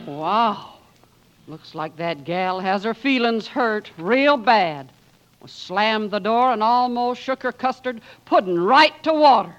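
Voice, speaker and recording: An actor playing a feisty, salty Western townsperson, sassy and folksy, in 1940s radio style. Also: male voice, sits high, expressive, slow, fairly bright tone, highs cut off, slight background noise, full character voice. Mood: amused.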